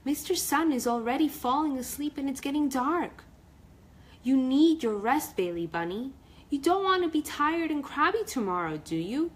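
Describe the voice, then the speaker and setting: A woman voicing a wise old male owl in a children's storybook, gently advising and lifted in pitch. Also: expressive, moderate pace.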